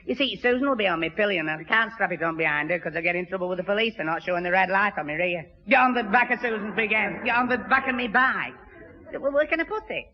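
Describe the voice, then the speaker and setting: High-voiced gormless Northern English lad. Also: male voice, lively intonation, quick pace. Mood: muddled. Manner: muddled, stumbling explanations. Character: daft, gormless young boyfriend, earnest and muddled. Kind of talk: 1960s radio sitcom acting. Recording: muffled echoey old radio recording.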